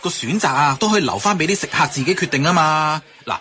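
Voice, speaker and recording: A man's voice: on the high side, animated, fast talker, pushed and bright. A man with a Hong Kong Cantonese accent acting a chatty, reasonable colleague who explains and placates in a radio drama. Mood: persuasive. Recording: faint background noise.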